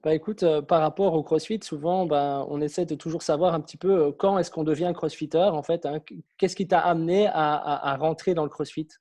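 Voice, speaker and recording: A man hosting a casual interview, opening slowly and relaxed, welcoming his guest. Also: a little high. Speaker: host.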